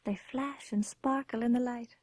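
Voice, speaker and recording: An actress playing a dreamy young gentlewoman in a Gothic radio horror drama, with a wistful, hushed storytelling manner. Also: fairly high pitch, fairly even intonation, moderate pace, dry recording, lightly acted. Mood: bright, teasing. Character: dreamy young English gentlewoman narrating her Gothic past.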